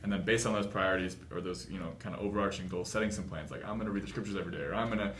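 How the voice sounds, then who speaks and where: Fast, low-voiced young American man. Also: fairly even intonation, a bit bright. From Los Angeles, United States. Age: 20s. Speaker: author, interview guest. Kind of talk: casual interview chat. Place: small office conference room. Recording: roomy echo, clear background room noise.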